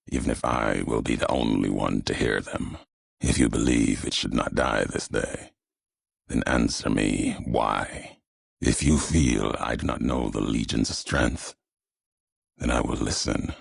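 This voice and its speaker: An actor playing a brooding, vengeful wasteland courier in a video game, grave and world-weary, speaking solemnly and deliberately in clipped, cryptic phrases with a gravelly voice. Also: male voice, extremely low pitch, heavy reverb, heavily acted. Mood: grave challenge.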